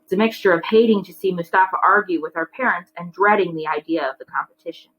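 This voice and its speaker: A woman reading a children's novel aloud in an expressive storytelling style, acting out the dialogue as she goes. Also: on the low side, fast talker, slightly rough, poor audio. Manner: animated character dialogue.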